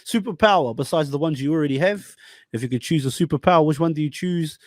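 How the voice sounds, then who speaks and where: A young man hosting a casual podcast interview, slangy, animated and quick to laugh. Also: a little high, no room sound, studio quality. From New Zealand. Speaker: podcast host.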